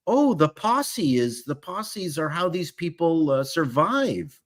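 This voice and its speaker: A man's voice: a little high, big pitch swings, takes it slowly. A middle-aged man giving opinions in casual chat as a stream panelist, with an emphatic manner.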